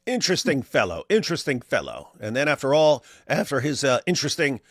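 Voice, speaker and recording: Lively gravelly man joking on a podcast. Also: mid-range voice, fairly quick, no room sound, studio quality. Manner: lively, joking, repeats phrases for effect. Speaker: co-host. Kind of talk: casual reaction chat.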